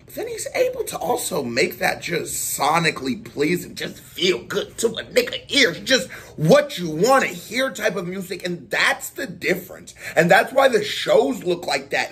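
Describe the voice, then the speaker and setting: A man in his thirties talks through a music reaction with a fairly high, lively voice. He exclaims often and thinks out loud as he breaks things down. He is recorded on a desk mic in a small room. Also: a little slow, few pauses. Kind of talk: casual reaction commentary. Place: small indoor room with posters on the wall.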